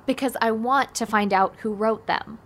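Audio drama: unsentimental teenage girl played by a woman, blunt, dry, matter-of-fact delivery, pitched a little high. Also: moderate pace, lightly acted. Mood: embarrassed annoyance. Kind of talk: naturalistic audio drama acting.

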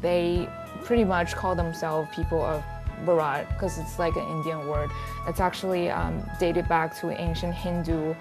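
A woman's voice: lowish voice, flat delivery, moderate pace, over music. A woman reporter chatting as a panel guest in an upbeat, talkative way. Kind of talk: casual panel chat.

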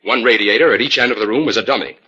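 A man's voice: fairly low pitch, racing, pushed and bright, slight background noise. An actor playing a confident, analytical male scientist-detective, explaining with authority in 1940s radio style. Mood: assured. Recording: dull 1940s radio recording.

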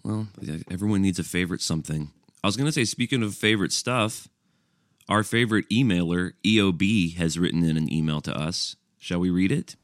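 Deep-voiced guy from alabama, wry dry humor, casual podcast chat. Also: a little fast, dry recording. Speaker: podcast co-host, musician.

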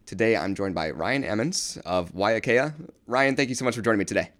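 A man's voice: lowish voice, expressive, fairly quick, a bit bright. A man hosting a business podcast who reads a scripted intro and then asks interview questions in an upbeat way. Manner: upbeat, brief affirmations. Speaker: podcast host.

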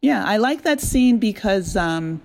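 A woman's voice: medium pitch, lively intonation, a little slow, gravelly. Woman chatting casually in an interview. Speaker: audio drama creator being interviewed.

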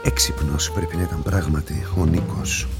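Deep-voiced pondering police investigator. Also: male voice, steady tone, over loud music. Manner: deliberate, reasoning aloud. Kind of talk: radio drama monologue.

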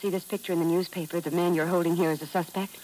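An actress playing an earnest, insistent deserted American wife, melodramatic in the manner of 1950s radio drama. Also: female voice, fairly low pitch, steady tone, fast, darkish tone, natural voice, low-quality recording. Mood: composed, earnest. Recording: old radio recording with faint hiss.

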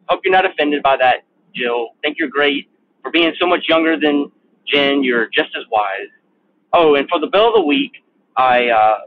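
A man calling in to a podcast with a slow, friendly, joking message. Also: fairly high pitch. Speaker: caller. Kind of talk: phoned-in voicemail. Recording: tinny phone line.

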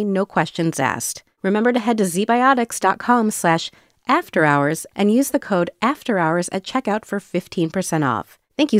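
A woman reads scripted advertising copy with lively, varied intonation. Her pitch is average and the recording is very dry and close. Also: dry recording. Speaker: podcast sponsor-read announcer.

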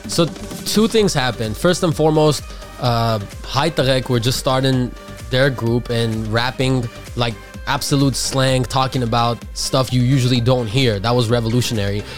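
A man's voice: mid-range voice, moderate pace. Laid-back male musician from Armenia, casual talker, medium voice, light music underneath. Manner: relaxed, casual slang. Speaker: guest recording artist. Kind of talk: conversational interview.

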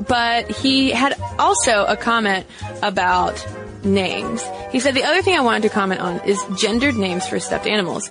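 A woman co-hosting a podcast in a friendly, casual way, reading a listener's letter and running through the show's plugs. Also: medium pitch. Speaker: podcast co-host.

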